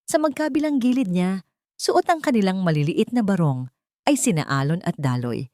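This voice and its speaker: A woman's voice: medium pitch, expressive, moderate pace, mellow, no room sound, studio quality. A woman reading a scripted dramatic story as an audiobook narrator.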